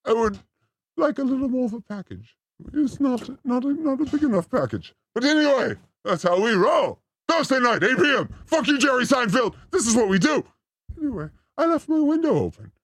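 Male comic host, theatrical and exclamatory, high-pitched, recorded very dry. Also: sing-song, fairly quick, fairly bright tone, dry recording. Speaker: host of a livestream show. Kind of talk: rambling comic monologue in a demon persona.

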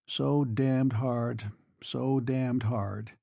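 A narrator acts out a disillusioned young male soldier in a literary story. His voice is mellow and creaky, brooding and wistful, the sound of a weary, self-absorbed sentimental lover. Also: medium pitch, unhurried, no room sound. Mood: weary, pitying.

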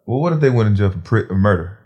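A man's voice: on the low side, lively intonation, quick pace, dull audio. A man in his thirties hosting a podcast, laid-back and slangy, asking blunt questions. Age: 30s. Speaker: podcast host. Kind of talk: casual interview chat.

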